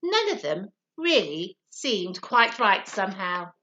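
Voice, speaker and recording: A woman teacher reading a story to children in an expressive, encouraging way. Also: mid-range voice, slow, highs cut off. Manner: encouraging, animated.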